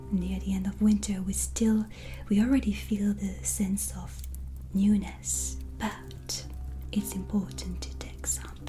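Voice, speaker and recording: A woman playing a gentle, calm witch hostess in a soft-spoken, whispered ASMR roleplay, soothing and intimate as if speaking to a guest. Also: medium pitch, close to an everyday voice. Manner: slow, soothing, intimate, addressing the listener as guest. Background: soft ambience.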